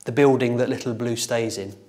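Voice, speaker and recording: A man's voice: mid-range voice, fairly even intonation, fairly quick, darkish tone. A man talking reflectively in an interview about his own creative work as a graphic novelist. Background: faint music.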